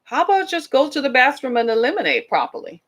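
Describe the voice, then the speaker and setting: A woman's voice: high-pitched, sing-song, quick pace. A woman from the British Caribbean with a Caribbean accent, hosting a podcast in a chatty, emphatic monologue full of rhetorical questions. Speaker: podcast host.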